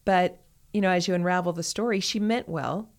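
A woman with a slightly low voice talks casually as a podcast co-host. She is emphatic, repeating words to drive a point home. The delivery is conversational and unscripted. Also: a little fast.

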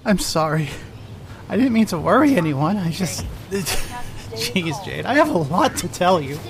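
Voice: medium pitch, very animated, measured pace, a little hiss or hum. A performer voicing June, an overwhelmed young girl who keeps laughing and crying as she comes out in a fan audio drama. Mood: relieved laughter. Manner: laughing and crying through her words.

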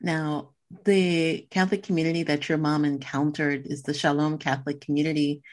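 A woman hosting a podcast, reading a measured introduction and asking inviting questions. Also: low voice, little pitch movement. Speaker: podcast host. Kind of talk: scripted intro and interview questions.

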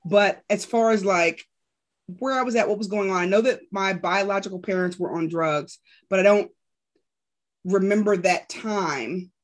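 Woman guest from New Jersey, earnest, reflective storyteller. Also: mid-range voice. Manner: earnest, thoughtful, sometimes halting. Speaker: guest speaker. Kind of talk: conversational storytelling.

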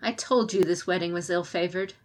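A woman reading the lines of an indignant, passionate female advisor in an audiobook, rising in loudness as she argues. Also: lowish voice, fairly even intonation, brisk, close to an everyday voice. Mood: impassioned.

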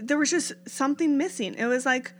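A woman's voice: sits high, a little fast. A young adult woman co-hosting a podcast, speaking in an earnest, chatty way and agreeing readily. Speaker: podcast co-host. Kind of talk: casual conversational chat.

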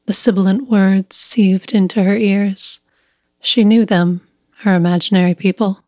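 A woman narrates a literary short story at an unhurried pace. Her pitch sits in the middle range, and she sets each short phrase apart with deliberate care. The recording is close and dry. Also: a little mellow, no room sound.

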